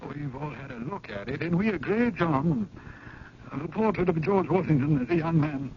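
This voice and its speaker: Guy playing genteel museum committee gentleman, formal n slyly kind, slightly high voice, muffled 70s radio drama w some hiss. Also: lively intonation, moderate pace, natural voice. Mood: ceremonious. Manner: formal, leisurely, roundabout speech. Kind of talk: 1970s radio drama acting. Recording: muffled, noisy old radio broadcast.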